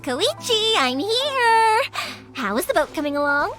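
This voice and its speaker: A woman's voice: very high voice, big pitch swings, measured pace, fairly bright tone, heavily acted. A woman voicing a cheerful young fireworks maker in a video game, upbeat, chatty and caring. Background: game background music.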